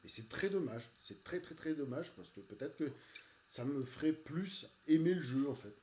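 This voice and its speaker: An irritated man rambles along in casual, halting speech, stuffing his sentences with fillers and false starts. His voice is dark and mellow. It sounds dull and lo-fi, and it echoes around a hollow room. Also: on the high side, expressive, slight background noise. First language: French. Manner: hesitant, many "euh" and "voilà", annoyed. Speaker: video game reviewer. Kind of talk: casual rant while playing a game.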